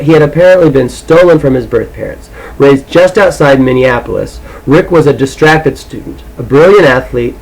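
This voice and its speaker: Amateur male audiobook reader, mid-pitched voice, loose and irreverent, casual and sweary. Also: low-quality recording. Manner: irreverent, foul-mouthed. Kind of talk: casual rambling talk and reading aloud.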